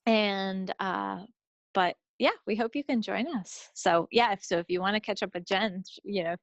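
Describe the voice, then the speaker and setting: A woman's voice: mid-range voice, normal speed, dry recording. A woman chatting casually as a friendly podcast co-host, speaking unscripted on slightly lo-fi audio. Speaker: co-host of a knitting and crafts podcast.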